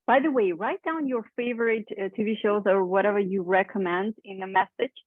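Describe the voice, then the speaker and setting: A woman's voice: mid-range voice, no echo, narrow, tinny audio. Chatty woman on a thin online call. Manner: chatty, quick to laugh, draws others in. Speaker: conversation club leader. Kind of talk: casual group chat in English.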